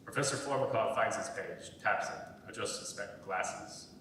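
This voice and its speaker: Low-voiced male narrator, big echoey hall. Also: moderate pace, sharp tone. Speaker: narrator reading stage directions. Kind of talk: scripted narration. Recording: echoey hall, distant mic, faint rumble.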